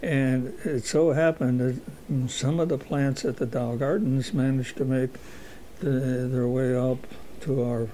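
A man of eighty-seven recalling his youth in a halting way, with many fillers and a dry humor. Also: medium pitch, fairly even intonation, warm tone, a little hiss or hum, poor audio. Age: 80s. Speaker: interviewee. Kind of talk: oral history recollection.

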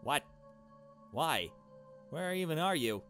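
A man voicing a brisk, commanding woman in visual novel dialogue, curt and urgent in manner. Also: a little high, big pitch swings, fairly bright tone, soft background music, voice pushed up, exaggerated voice. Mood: brisk, alarmed.

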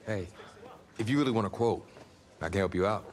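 A man acts as a confident young American quarterback, new to the team, in a sports game scene. His voice is on the low side and his talk is direct and probing. There is slight room noise behind him. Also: brisk, natural voice. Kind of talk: video game cutscene acting.

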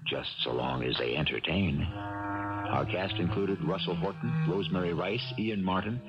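A man hosting a 1970s radio drama, narrating in a measured, literary storyteller's manner. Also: low voice. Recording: muffled old radio broadcast, loud music.

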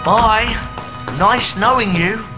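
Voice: mid-range voice, slow, music in the background, natural voice. A voice actor playing a plucky, good-natured schoolboy wizard, polite and easygoing, in a video game. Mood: friendly.

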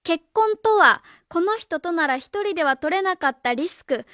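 A woman's voice: very high-pitched, animated, moderate pace, a bit bright, no echo, studio quality. A woman playing an earnest, proud, idealistic schoolteacher in a radio drama, speaking formally and with indignation. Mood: indignant. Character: earnest, proud, idealistic female junior high school teacher. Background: music.

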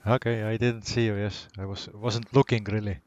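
Low-voiced gamer man. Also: little pitch movement, normal speed, muffled recording. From Estonia. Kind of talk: casual game chat.

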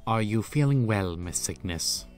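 A man's voice: fairly low pitch, lively intonation, measured pace, faint music behind. A man voicing a formal, protective male school aide in an anime-style game dub, earnest and reassuring. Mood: concerned.

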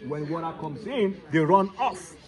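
A man's voice: fairly high pitch, animated, measured pace, a crowd in the background. Man contractor explaining a building site. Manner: explains step by step, repeats key points, laughs easily. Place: outdoor building site with a pool.

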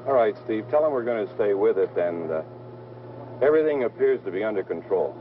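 Actor as quick-talking reporter, distant and tinny. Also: male voice, fairly low pitch, expressive, natural voice. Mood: businesslike. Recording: distant, tinny, noisy old film soundtrack.

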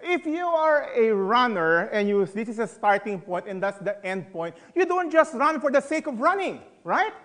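A man's voice: very high-pitched, very animated, moderate pace, hardly any pauses, fairly bright tone. A middle-aged man teaching a Bible study in a conversational, emphatic way, asking the audience questions. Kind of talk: sermon.

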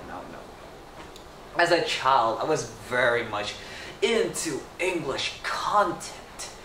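A teenage boy whose first language is Indonesian, telling a story in a speech to a camera across a small room, animated and playful. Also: medium pitch. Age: teens. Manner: animated, gesturing, playful asides. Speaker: student storyteller. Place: small indoor room with wallpaper. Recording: echoey room, mic at a distance, faint noise.

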